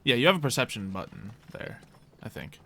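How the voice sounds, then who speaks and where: A man's voice: mid-range voice, sing-song, a little fast, fairly bright tone. A man narrating as game master in a loose, rambling way, full of fillers.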